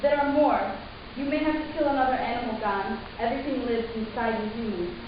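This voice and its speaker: A woman playing a calm, commanding alien matriarch in a dramatic reading, clinical and unsentimental. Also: fairly high pitch, a little fast, highs cut off, close to an everyday voice. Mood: matter-of-fact. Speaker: stage reader. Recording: echoey room from far off, hissy.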